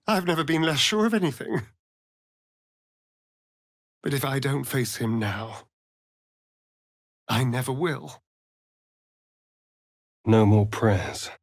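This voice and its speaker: A man's voice: medium pitch, no echo, studio quality. A man playing a sardonic, vain vampire spawn in a fantasy video game, with an arch, theatrical drawl. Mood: sardonic, musing.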